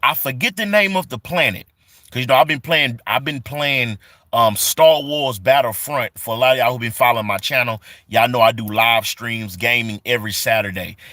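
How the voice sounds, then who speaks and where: A man in his forties talks on a live stream, reading comments and greeting viewers. His voice is bright and forceful, his delivery loose and repetitive with plenty of fillers. The sound is dry and close to the mic. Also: mid-range voice, moderate pace, dry recording. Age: 40s. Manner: reads chat comments aloud and greets viewers. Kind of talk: casual live stream chat.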